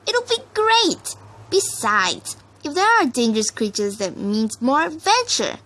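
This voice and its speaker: A brash young pegasus mare voiced by a woman reading a story, hungry for adventure and quick to get impatient. Her voice sits high and swings widely, with a cocky, dramatic edge. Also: very animated, fairly bright tone, full character voice, low-quality recording. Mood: confident, dismissive.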